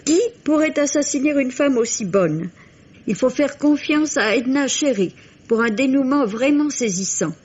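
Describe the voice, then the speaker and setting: Lady doing a book review, highish husky voice, very literary, muffled old radio sound. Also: animated, normal speed, a little mellow. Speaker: book critic. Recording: dull, lo-fi vintage radio recording.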